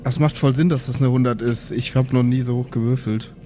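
A man's voice: mid-range voice, fairly even intonation, fairly quick, mellow, a little hiss or hum. A man chatting casually as a player at a tabletop role-playing game.

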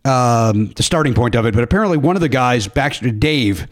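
A man's voice: mid-range voice, animated, brisk. A man hosting a comedy podcast, chatting casually with a jokey, profane manner. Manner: jokey, profane, mock radio-DJ patter. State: says he is losing his voice. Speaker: podcast host.

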